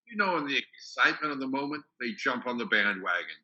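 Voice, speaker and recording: A man's voice: mid-range voice, big pitch swings, quick pace, sharp tone, highs cut off. A man playing a pragmatic, unbothered biblical disciple in a church dramatic reading, speaking matter-of-factly. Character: pragmatic, unbothered male disciple, shrugging off doubts.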